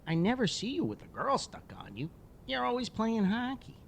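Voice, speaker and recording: An eager, chatty little boy full of questions, as a man puts him on. The voice sits well above a grown man's speaking pitch and swings up and down on every question. He sounds lonely but bright and keen to hear more. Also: wildly swooping pitch, creaky, a little hiss or hum, exaggerated voice. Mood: eager, curious. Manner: eager rapid questions in a raised childlike pitch.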